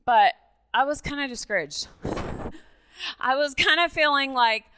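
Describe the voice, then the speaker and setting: Woman from Mexico, native Spanish speaker, high bright voice, informal church testimony, animated storytelling. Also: takes it slowly, poor audio. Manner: animated storytelling, often checking in with listeners. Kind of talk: personal testimony in a sermon, conversational and informal.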